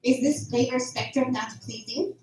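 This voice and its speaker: Radio play: actress as a creepy, taunting kitchen woman, high voice, oddly formal wording, recorded off-mic with faint noise. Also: fairly even intonation, normal speed, a little mellow, natural voice. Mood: menacing taunting. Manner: taunting, stilted formal phrasing. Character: creepy, mocking woman serving in a farm kitchen. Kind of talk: amateur radio drama acting. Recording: roomy, distant, faint background noise.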